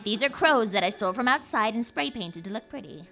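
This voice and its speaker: A woman's voice: fairly high pitch, lively intonation, brisk, gravelly, fairly bright tone, faint music behind. A woman playing a brash, scheming witch-like hustler. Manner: brash, mocking. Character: scheming witchy woman selling painted crows. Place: live theater stage.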